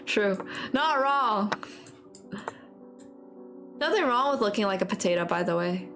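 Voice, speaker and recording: A woman's voice: mid-range voice, lively intonation, measured pace, fairly bright tone, faint music behind. A woman chatting casually on a stream while playing, playful, slangy and self-deprecating. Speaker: live streamer.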